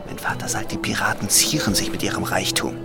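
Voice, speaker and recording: A man's voice: low voice, fairly even intonation, brisk, creaky, loud music behind the voice. An actor playing a cautious, anxious young man in a German radio play, speaking in urgent, hesitant warnings. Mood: disapproving. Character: cautious, anxious young man, loyal companion to a reckless girl.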